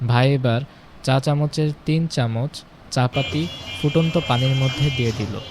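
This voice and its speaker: Male narrator for home recipe storytelling, mid-pitched, recorded with clear background noise and ambient rumble. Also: measured pace. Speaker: home cooking channel narrator. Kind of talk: scripted recipe storytelling voice-over.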